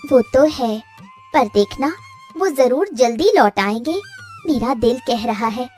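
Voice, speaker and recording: A woman's voice: on the high side, expressive, slow, a little mellow, faint music behind. A woman voicing a doting, anxious and childlike younger co-wife in a Hindi cartoon story. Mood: affectionate.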